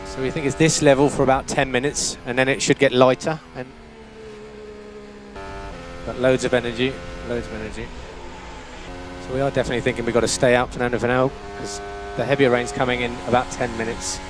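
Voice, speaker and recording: A man's voice: mid-range voice, little pitch movement, a little fast, background noise. A man working as a race engineer, giving terse, matter-of-fact instructions over team radio and repeating key phrases. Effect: team radio transmission.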